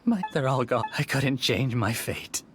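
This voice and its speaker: A man's voice: mid-range voice, a little slow, natural voice. A man playing an anguished, defeated scholar in dramatic video game voice acting. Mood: despairing. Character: anguished, defeated male scholar losing his dream world. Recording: clear background noise.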